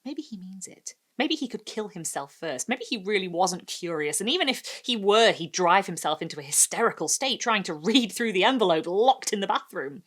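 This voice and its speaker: A woman's voice: mid-range voice, animated, normal speed, hardly any pauses, fairly bright tone. A woman voicing a seething, frantic housewife thinking to herself in a dramatic short story reading. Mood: scheming. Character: tense, seething housewife with frantic inner thoughts.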